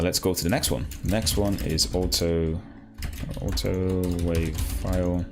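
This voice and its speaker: A man hosting a live coding stream, talking casually and haltingly as he thinks aloud and asks himself questions. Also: deep voice. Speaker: livestream host, programmer.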